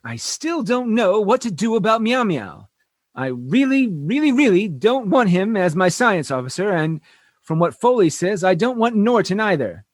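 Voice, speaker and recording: An actor playing a flustered, grumbling space station captain in a comedy sci-fi radio show. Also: male voice, high voice, very animated, normal speed, dry recording, clean studio sound. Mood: fretful, musing.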